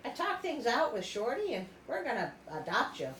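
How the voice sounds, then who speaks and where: Storybook prince, played by a woman: breezy, reassuring, high voice, slangy, slight background noise. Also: huge pitch swings, normal speed, a bit bright, echoey room. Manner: slangy, chummy.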